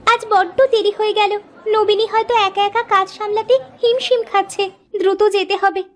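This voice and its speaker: A woman's voice: very high-pitched, flat delivery, normal speed, some chatter behind. A woman voicing a young, hardworking village girl in a cartoon moral story, earnest and sweet. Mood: caring, earnest. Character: young village sisters, dutiful, cheerful, hardworking girls.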